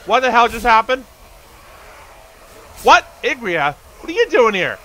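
A man's voice: extremely high pitch, big pitch swings, measured pace, a bit bright, slight background noise. A man giving casual, informal commentary as he plays a video game, reacting to what happens on screen. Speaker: gamer, video host.

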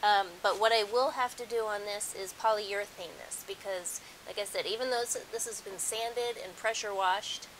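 A woman's voice: medium pitch, steady tone, normal speed, few pauses, background noise. A middle-aged woman giving a casual, conversational how-to demonstration at home, explaining things as she goes. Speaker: DIY host. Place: carpeted room at home.

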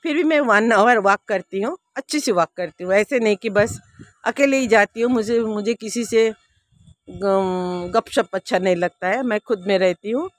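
A woman's voice: mid-range voice, normal speed, no echo. Chatty woman in her sixties, dry sound. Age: 60s. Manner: chatty, homely, speaks directly to viewers. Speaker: vlogger. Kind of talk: casual rooftop garden tour. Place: outdoor rooftop garden. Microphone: handheld phone camera.

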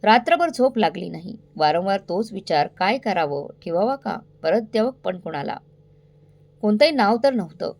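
A woman's voice: medium pitch, lively intonation, normal speed, a little mellow. A woman narrating a scripted story in a dramatic, suspenseful way, addressing listeners directly.

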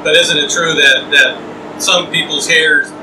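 A man's voice: on the high side, steady tone, a little slow. Chatty man interviewing from a barber chair. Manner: chatty, asks questions while getting a haircut. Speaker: host. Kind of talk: casual interview. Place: small trailer interior, windy outdoor event. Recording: roomy far-off sound, fan and wind noise.

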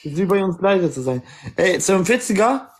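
A man hosts a livestream and keeps needling his guests to stir things up. His pitch swings lively and high. He talks in a loose, casual style. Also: normal speed. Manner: teasing, stirs up the guests. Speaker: livestream host.

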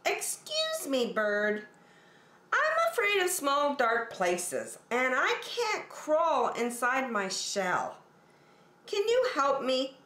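A woman's voice: sits high, wildly swooping pitch, slow pace, bright, forceful tone, echoey room, voice pushed up, full character voice. A woman voicing a small, worried young boy turtle in a raised childlike voice, reading a storybook aloud.

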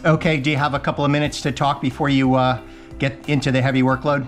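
A man's voice: medium pitch, little pitch movement, quick pace. Male ham operator, casual and upbeat, recorded on a desk camera at home with faint music behind. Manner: upbeat, frequent uh fillers. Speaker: ham radio operator and private pilot. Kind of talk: casual vlog narration and radio chat. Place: home radio room. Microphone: GoPro camera on the desk.

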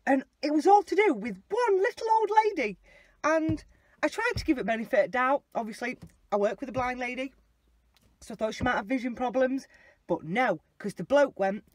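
A young woman telling a story in a casual, animated, sweary way while sitting in a car and filming on a dashboard phone. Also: fairly high pitch, dry recording. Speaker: vlogger. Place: inside a car. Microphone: phone camera mounted on the dashboard.

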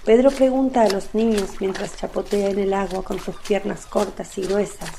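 A woman's voice: medium pitch, fairly even intonation, normal speed, gravelly, mellow, a little hiss or hum. A woman narrating a literary radio play from a script.

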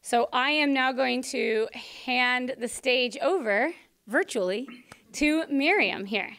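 A woman hosts an event in a meeting room, introducing a speaker from partly read notes. Her voice is high-pitched, clean and smooth. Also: takes it slowly, a bit bright. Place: meeting room indoors with audience.

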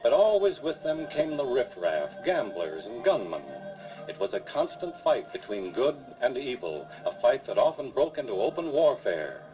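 A man's voice: medium pitch, big pitch swings, normal speed, close to an everyday voice, poor audio. A man with an American accent narrating a radio western as a dramatic, declamatory announcer. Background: music.

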